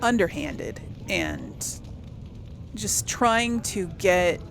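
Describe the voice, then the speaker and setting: Woman doing chill lets play commentary, slow n hesitant, thinking out loud, bit of bg noise. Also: mid-range voice, animated, creaky. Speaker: gaming video host. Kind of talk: casual let's play commentary.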